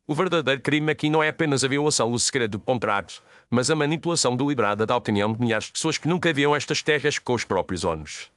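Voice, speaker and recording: A man plays an authoritative detective in an audio mystery. He speaks briskly in a middle register, composed and formal, explaining things didactically. The sound is dry. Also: medium pitch, lively intonation, a bit bright, dry recording, close to an everyday voice. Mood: composed, didactic. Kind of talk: dramatised audio mystery story.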